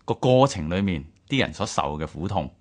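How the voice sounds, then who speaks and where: A male radio co-host with a Hong Kong Cantonese accent offers conversational commentary on history. His voice sits low, and his intonation moves up and down in a lively way. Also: normal speed.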